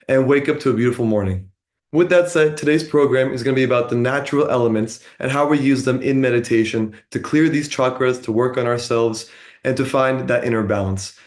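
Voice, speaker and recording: A young man from San Diego, California, hosting an online meditation program in a relaxed, friendly way with lots of uh and um. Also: mid-range voice. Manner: relaxed, friendly, with many fillers like uh and um. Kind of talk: casual spoken introduction to a meditation session.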